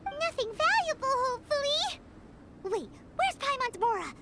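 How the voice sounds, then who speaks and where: A woman voicing a tiny, excitable fairy-like girl companion in a video game who refers to herself in the third person. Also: extremely high pitch, moderate pace, background noise. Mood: alarmed. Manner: bubbly, excitable. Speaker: game character. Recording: loud game music underneath. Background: loud game soundtrack.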